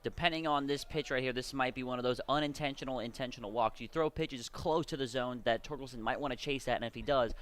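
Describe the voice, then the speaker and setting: A man's voice: mid-range voice, fast talker, few pauses, a bit bright. A man giving conversational, explanatory color commentary on a live radio baseball broadcast. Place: at a baseball game. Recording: clear ambient noise behind voice.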